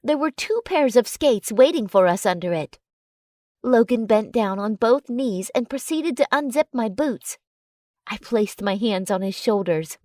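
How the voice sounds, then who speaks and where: A woman narrating a first-person romance story in an expressive, dramatic storytelling way. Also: a little high, measured pace, dry recording, clean studio sound. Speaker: audiobook narrator.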